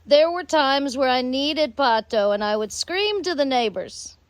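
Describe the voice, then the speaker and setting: An American woman telling rambling personal stories in drawn-out, halting phrases with long pauses. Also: sits high, lively intonation, no room sound. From United States. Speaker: storyteller host.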